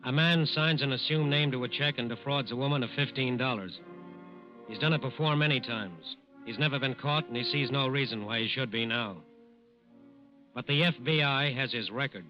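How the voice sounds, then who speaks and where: A man narrating a scripted 1940s radio crime drama in a dramatic style. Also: medium pitch. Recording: muffled old radio recording.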